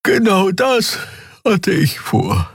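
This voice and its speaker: A man voicing a tired female beaked alien in an audiobook, with drawn-out, sleepy speech. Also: high-pitched, huge pitch swings, gravelly, breathy edge, dry recording, clean studio sound, pitched above the performer's own voice, heavily acted. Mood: weary.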